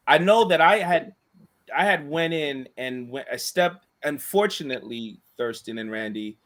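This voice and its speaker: A man in casual chat who tells a long story in a monotone voice, rambling with many restarts and asides. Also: medium pitch. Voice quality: monotone voice, self-described. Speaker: co-host and school staff member.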